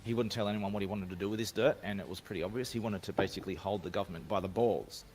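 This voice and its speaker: A man's voice: on the low side, a little hiss or hum. Rambling Australian man telling a story. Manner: rambling, self-assured, frequent restarts. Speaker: guest. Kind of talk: casual podcast storytelling. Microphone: home microphone, remote call.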